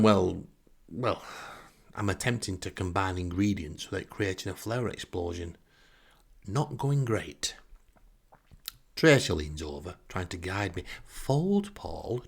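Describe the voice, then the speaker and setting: Northern english bloke telling funny stories about himself, lowish gravelly voice, wry and a bit theatrical. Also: male voice, a bit bright. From northern England. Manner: wry, self-deprecating, theatrical emphasis. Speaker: storyteller and host. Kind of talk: comic first-person storytelling.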